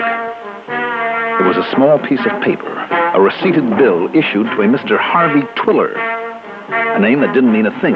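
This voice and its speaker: An actor playing a wry, confident American insurance investigator, narrating and talking in clipped noir radio style. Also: male voice, medium pitch. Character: wry, hard-boiled male insurance investigator narrating his case. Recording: muffled old radio recording with music.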